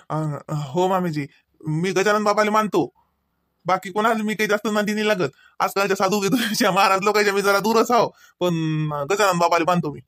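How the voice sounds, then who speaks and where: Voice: mid-range voice, fairly even intonation, brisk, a bit bright, no echo, studio quality, close to an everyday voice. An actor voicing an eager, polite, easygoing college-age young man in a comedy cartoon, speaking colloquial Marathi with a Varhadi accent. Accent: Varhadi Marathi from Vidarbha. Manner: colloquial, obliging, reassuring.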